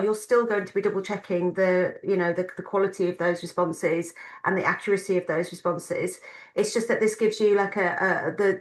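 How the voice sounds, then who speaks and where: A woman's voice: mid-range voice, roomy sound, low-quality recording. A woman moderating a panel, putting audience questions to a colleague with many hesitations. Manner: hesitant, many ums while reading questions. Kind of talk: conversational Q&A.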